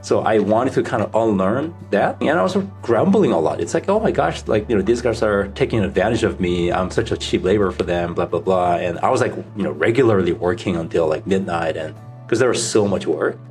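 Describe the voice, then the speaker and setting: A man's voice: lowish voice, expressive, brisk, darkish tone, soft background music. A middle-aged man from South Korea with a Korean accent, giving casual answers as a podcast guest. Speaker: podcast guest, startup founder.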